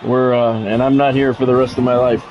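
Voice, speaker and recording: A man's voice: medium pitch, flat delivery, brisk, lo-fi sound, some chatter behind. A middle-aged American man with a blunt, grumbling manner, switching between casual profane chat and sports play-by-play on a livestream. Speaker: game streamer and sports play-by-play announcer.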